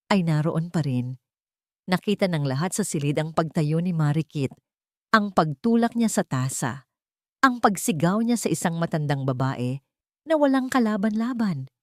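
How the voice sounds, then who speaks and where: A woman storyteller reads scripted drama with very lively intonation. Her voice sits a little low, and the recording is dry, with no room sound. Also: no room sound.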